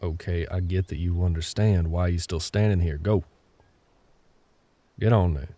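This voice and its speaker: A man playing a gruff but caring older cowboy ranch hand in an intimate audio roleplay, with a low gravelly voice and a terse, unhurried drawl. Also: fairly even intonation, warm tone. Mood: exasperated. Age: middle-aged. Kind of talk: intimate ASMR roleplay acting.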